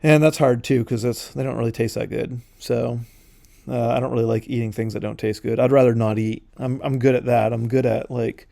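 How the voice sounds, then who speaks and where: A man's voice: mid-range voice, steady tone, a little fast, creaky, warm tone, no room sound, studio quality. A man in his forties casually rambling as a podcast host while he paints, drifting into side notes with lots of fillers. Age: 40s.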